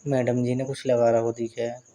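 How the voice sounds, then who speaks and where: Casting: male actor as married flirt, soft mellow tone, mid-pitched, sly innuendo, casual phone-call drama. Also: level tone, moderate pace, lightly acted. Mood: flirty, suggestive. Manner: teasing, double-meaning banter. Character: flirtatious married man, teasing and suggestive.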